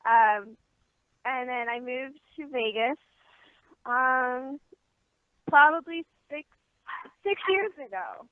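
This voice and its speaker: A woman's voice: sits high, expressive, takes it slowly, pauses often, clean tone, no room sound. A young American woman giving candid, informal answers as an interview guest. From Baltimore area, eastern United States. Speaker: interview guest, adult film performer. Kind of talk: casual interview chat. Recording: tinny narrowband phone line, dry.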